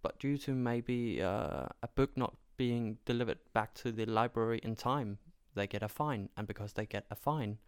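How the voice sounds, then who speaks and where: A man's voice: fairly low pitch, moderate pace, few pauses, clean tone, no room sound, studio quality. A man from Denmark with a Danish accent speaks in an emphatic, argumentative way, driving points home with repeated phrases in an opinionated conversation.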